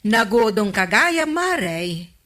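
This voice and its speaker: Bright, expressive village woman. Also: medium pitch, slow. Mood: chatty. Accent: Ilocano. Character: chatty, questioning female village official. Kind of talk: Ilocano radio comedy drama acting.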